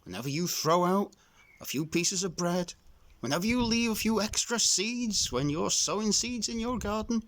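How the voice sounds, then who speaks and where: Casting: male reader as old rustic crow, kindly, folksy rambler, repeats himself, very animated pitch. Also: normal speed. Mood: warm, grateful. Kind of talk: children's storybook character voice.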